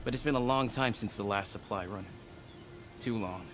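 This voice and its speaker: An actor playing a serious soldier who speaks in short, clipped sentences. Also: male voice, on the low side, normal speed, close to an everyday voice. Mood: grave. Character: grave male soldier contact, worried. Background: game score.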